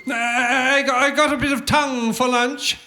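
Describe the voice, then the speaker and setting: A man's voice: very high voice, little pitch movement, measured pace, noisy background. A man playing a mild, well-meaning husband in a live radio play, rambling hesitantly. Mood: sheepish.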